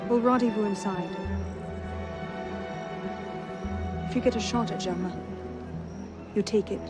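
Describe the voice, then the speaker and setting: A woman's voice: medium pitch, fairly quick, lightly acted. An actress playing a determined young noblewoman out for vengeance in a video game, speaking decisively and with command. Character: bold, determined young noblewoman revolutionary-era avenger. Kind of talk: video game cutscene acting. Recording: loud music and crowd under dialogue.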